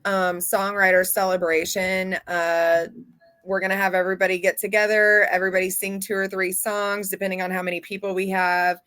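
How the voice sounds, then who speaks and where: A woman's voice: mid-range voice, little pitch movement, fairly quick, fairly bright tone, clear, resonant tone, dry recording. A woman hosting a radio interview, chatting in a casual, upbeat way with plenty of fillers. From not from Texas. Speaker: radio show host.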